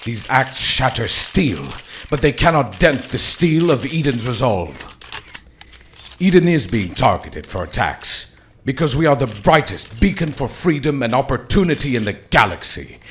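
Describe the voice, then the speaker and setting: A man's voice: medium pitch, big pitch swings, unhurried, fairly bright tone, slight background noise. A man as a grandiose corrupt administrator. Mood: rousing. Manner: grandiose, rousing. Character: corrupt male city administrator giving a press speech. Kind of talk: political speech.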